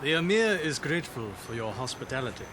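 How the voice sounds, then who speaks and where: A man's voice: medium pitch, big pitch swings, a little fast, background noise, close to an everyday voice. A man playing a formal, courteous translator for a foreign dignitary in an audio drama, with a stiff manner. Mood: formal, guarded.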